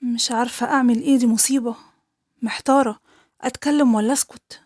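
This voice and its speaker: A woman's voice: fairly high pitch, fairly even intonation, fast talker, darkish tone, no echo, studio quality, natural voice. A woman with an Egyptian accent voicing an anxious female schoolteacher who speaks hesitantly and soothingly. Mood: worried. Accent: Egyptian Arabic.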